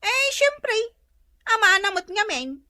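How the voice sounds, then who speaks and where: A woman's voice: very high-pitched, very animated, slow pace, bright, forceful tone, dry recording, clean studio sound, exaggerated voice. A woman playing a meddling, gossipy older female friend in a radio drama, insistent and inquisitive. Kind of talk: Ilocano comedy radio drama acting.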